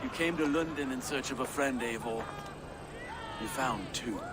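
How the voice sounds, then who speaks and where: A calm, pious male warrior from a video game , acted by a man. He is a loyal friend who speaks solemnly at middle pitch. Loud outdoor ambience surrounds him. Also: moderate pace, very noisy, close to an everyday voice. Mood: solemn, fond. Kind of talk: dramatic video game cutscene acting.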